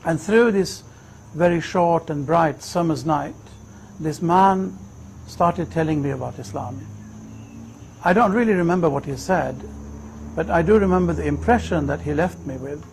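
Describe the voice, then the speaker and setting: A man in his fifties from Sweden, telling his personal story in a reflective, measured way. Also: fairly high pitch, a little hiss or hum, rough recording. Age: 50s. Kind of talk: personal testimony, unhurried storytelling.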